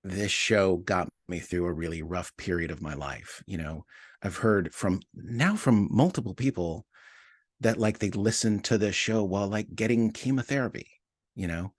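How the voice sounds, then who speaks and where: A man's voice: sits low, moderate pace, no echo, studio quality. A man speaking as an interview guest in a casual, reflective way, repeating and rephrasing his thoughts as he goes. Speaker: interview guest, podcast writer and producer.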